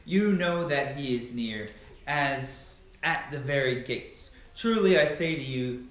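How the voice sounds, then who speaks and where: Very echoey man reading scripture dramatically. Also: high-pitched. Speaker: Bible reader. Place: bare carpeted living room indoors. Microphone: far camera. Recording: very echoey empty room, distant microphone.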